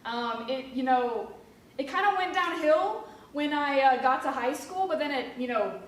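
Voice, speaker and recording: A woman's voice: sits high, expressive, moderate pace. A young woman in her twenties from Kentucky, chatting casually in an interview with many fillers. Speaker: museum education specialist. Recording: echoey room from a distance.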